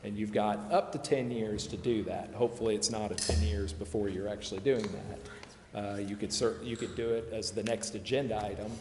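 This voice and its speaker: A man's voice: fairly low pitch, steady tone, a little fast. A man explaining things at a public meeting as a consultant, hedging and repeating himself as he goes. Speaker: consultant presenting to a city council. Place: large indoor council chamber. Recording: echoey meeting hall with background noise.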